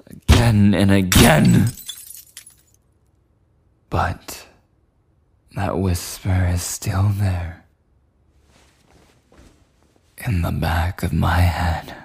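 A man's voice: sits low, vocal fry, darkish tone, slightly rough, heavily acted. A man playing a clingy, insecure, possessive boyfriend in an intimate ASMR roleplay, rambling breathily and often slipping into whispers. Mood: anxious.

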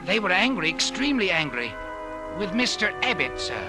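An actor playing a deferential, formal butler giving careful, hesitant testimony in an old radio drama. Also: male voice, high-pitched, very animated, fairly quick. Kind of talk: old-time radio acting. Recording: old radio recording with music behind.

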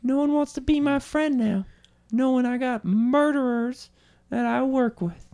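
A man's voice: extremely high pitch, a little slow, darkish tone, clear, resonant tone. A man voicing a sulky grown son who whines that nobody wants to be his friend. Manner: sulky, self-pitying whine. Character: whiny grown son of a killer car salesman. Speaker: improv character.

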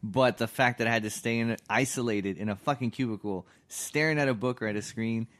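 A man's voice: mid-range voice, a little fast, a bit bright. A young man co-hosting a podcast in casual chat, swearing and ranting with animation. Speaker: returning co-host.

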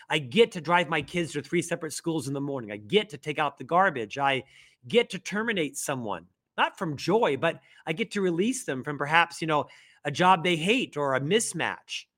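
A man's voice: expressive, brisk, a bit bright. A middle-aged man from Florida, a guest author telling his story in a conversational interview, who says he stutters. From Florida, USA. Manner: upbeat, storytelling, rising pitch on emphasis.

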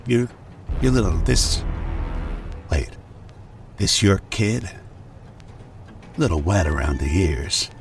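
Slow, gravelly, menacing mercenary villain. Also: male voice, deep voice, big pitch swings, far from the mic, music in the background, heavily acted. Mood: bitter taunting. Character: cruel, mocking male half-machine mercenary villain. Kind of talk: radio drama acting.

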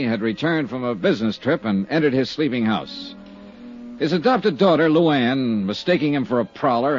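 An actor playing a tough, wry insurance investigator, clipped and sardonic, in 1950s radio detective style. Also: male voice, medium pitch. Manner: clipped, sardonic, hard-boiled. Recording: dull vintage 1950s radio recording.

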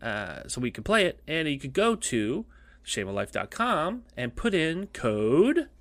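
A man's voice: fairly high pitch, sing-song, unhurried, a bit bright, no room sound. A male podcast host with a cough, chatting casually in a rambling, jokey way that keeps repeating itself. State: coughing, losing his voice.

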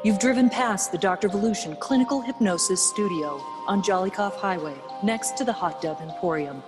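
A woman's voice: mid-range voice, brisk, natural voice. A woman voicing a polished radio-ad announcer. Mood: smooth. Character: smooth female radio-ad announcer. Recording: long reverb with music.

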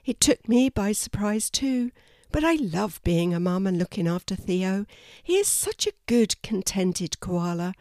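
A woman voicing a calm, friendly mother koala in a storybook. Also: mid-range voice, measured pace, no echo, clean studio sound.

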